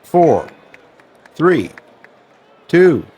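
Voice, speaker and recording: An actor playing an unbothered radio producer giving dry, clipped countdown cues in a drama, off-mic with music behind. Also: male voice, fairly high pitch, sing-song, drawn-out words, darkish tone, full character voice.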